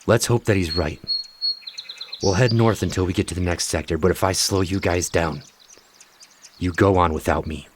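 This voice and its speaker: A man's voice: deep voice, moderate pace, pauses often, noisy background, natural voice. An actor playing Doctor Reed, an injured older male doctor who speaks firmly and with authority. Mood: commanding. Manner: firm, authoritative. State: weak, injured.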